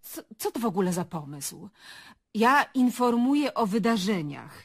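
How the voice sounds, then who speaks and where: A woman's voice: mid-range voice, sing-song, a little slow, husky, exaggerated voice. An actress playing an evasive woman journalist in a radio drama, cornered and indignant in her denials. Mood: rattled, indignant. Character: defensive, evasive female journalist cornered as murder suspect. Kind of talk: Polish radio drama acting.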